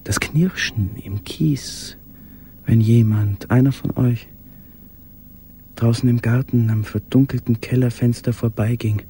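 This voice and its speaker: Ein Schauspieler spielt in einem Hörspiel einen gequälten, sich erinnernden Mann im Versteck, gedämpft, stockend und intim gesprochen. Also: male voice, mid-range voice, fairly even intonation, unhurried, warm tone, lightly acted. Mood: haunted, yearning. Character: haunted man in wartime hiding, remembering a beloved. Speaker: actor in a radio play. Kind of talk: literary radio play monologue. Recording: faint background noise.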